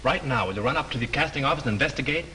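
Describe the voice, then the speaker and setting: A confident young writer who questions people in a brisk, teasing way. He talks fast, with a bright, lively edge. A faint hiss sits under the old soundtrack. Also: medium pitch, rough recording. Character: quick-witted young screenwriter turned sleuth. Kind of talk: 1930s film acting.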